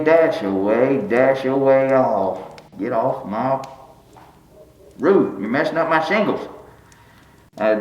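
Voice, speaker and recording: A man's voice: medium pitch, darkish tone. A man playing a folksy, bald country fellow who reads a holiday poem aloud, tripping over words and cracking jokes between verses. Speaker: storyteller reading aloud. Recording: roomy, slightly dull recording.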